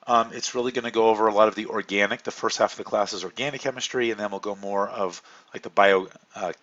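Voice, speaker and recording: A hoarse man with a fairly low voice talks students through a course introduction in a loose, unhurried way, full of ums and uhs. The recording is dull and lo-fi, like a computer mic capturing a screen walkthrough. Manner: relaxed, rambling, many fillers. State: hoarse from a cold. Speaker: chemistry instructor.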